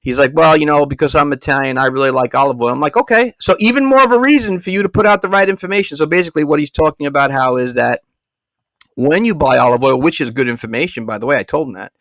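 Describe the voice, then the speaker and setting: A man's voice: fairly high pitch, fast, dry recording. Fired-up man ranting into a dry mic. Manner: animated, repeats words and restarts, fired-up. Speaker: podcast host. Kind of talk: casual solo podcast rant.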